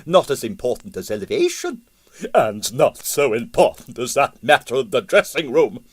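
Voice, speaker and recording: A man's voice: mid-range voice, very animated, moderate pace, breathy edge. A man voicing a large, formidable ballet mother in a storybook, imperious and indignant, with emphatic delivery. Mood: grim.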